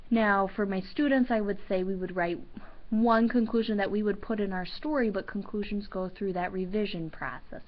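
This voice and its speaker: Woman, teacher, storybook read-aloud, deliberate emphatic phrasing, mid pitch, dull lo-fi recording. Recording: dull lo-fi sound.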